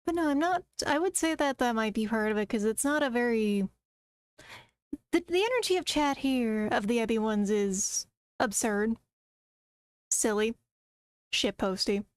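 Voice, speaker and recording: Brief: woman streamer, slightly high voice, rambling casual chatter aimed at chat. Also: measured pace, long pauses, clean tone, no echo, studio quality.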